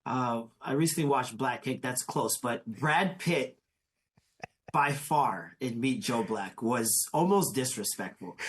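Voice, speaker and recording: A man talks casually as a guest on a panel. His voice moves up and down a great deal, with expressive, emphatic asides. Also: on the high side.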